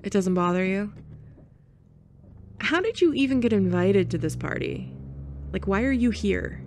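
A woman's voice: medium pitch, lively intonation, measured pace, a little mellow, a little hiss or hum. A young woman playing a blunt, sarcastic former bully in an audio roleplay, sighing and scoffing as she talks. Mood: scornful. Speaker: ASMR roleplay performer. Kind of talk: F4M ASMR roleplay.

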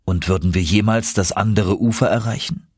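A man with a husky voice narrating a first-person adventure story as an audiobook, expressive and dramatic. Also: fairly low pitch, fairly quick, no echo, clean studio sound.

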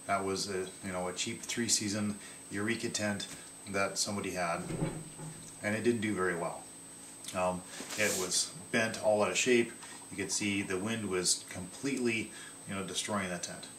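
A man in his thirties casually showing his outdoor gear from a table in his living room, pausing often with ums and restarting his sentences. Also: low voice, fairly even intonation, roomy sound, distant mic, background noise. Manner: halting, many ums and restarts.

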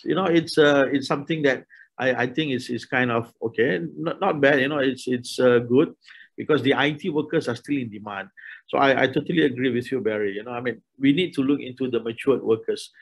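A man's voice: mid-range voice, a little fast, creaky, rough recording. A middle-aged man moderating an online panel discussion over a video call, talking with many restarts and hesitations. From Malaysia. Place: small room indoors.

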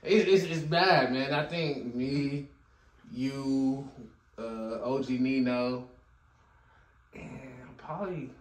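A man's voice: medium pitch, takes it slowly, long pauses. Laid-back man in an echoey room. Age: 30s. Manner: laid-back, trailing off into mumbles. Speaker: podcast host. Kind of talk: casual podcast interview. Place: living room couch, indoors.

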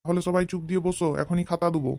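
An actor playing a brisk male schoolteacher supervising an exam in a comedy cartoon, giving authoritative instructions. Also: mid-range voice, little pitch movement, darkish tone, natural voice. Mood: brisk, instructing.

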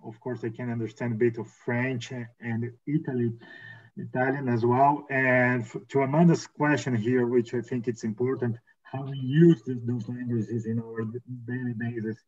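A man's voice: mid-range voice, level tone, moderate pace, a little mellow. A man speaking on a webinar panel over a video call, earnest and repeating words, tagging sentences with "right?". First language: Portuguese. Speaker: legal and compliance counsel. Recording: dull lo-fi video call audio.

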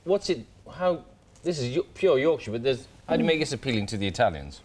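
A middle-aged male presenter who talks quickly, with a lively, bouncing pitch. He keeps things chatty and jokey in a breakfast television studio. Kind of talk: breezy morning television presenting.

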